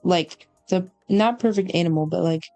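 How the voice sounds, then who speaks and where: Mellow, low-key young woman guest. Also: no room sound, soft background music. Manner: measured, hesitant, low-key. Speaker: guest graphic designer. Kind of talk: casual opinion chat.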